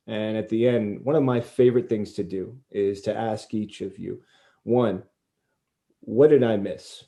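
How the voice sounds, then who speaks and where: Male interviewer, low and warm, soft-edged tone, deliberate and wordy conversational hosting over a video call. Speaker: podcast host and interviewer.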